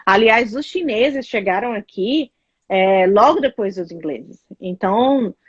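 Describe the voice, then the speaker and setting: A woman's voice: mid-range voice, a little slow, breathy edge, no room sound, muffled recording. A Brazilian woman with a Brazilian Portuguese accent, chatting casually as a guest, telling stories with lots of fillers. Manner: chatty, animated storytelling with many fillers. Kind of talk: casual chat.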